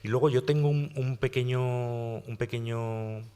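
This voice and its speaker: A man's voice: mid-range voice, steady tone, measured pace, dark tone, smooth. A man on a public literary panel, speaking reflectively and rambling with frequent restarts. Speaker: panelist writer. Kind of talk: round-table discussion. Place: lecture hall at a public panel. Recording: reverberant hall.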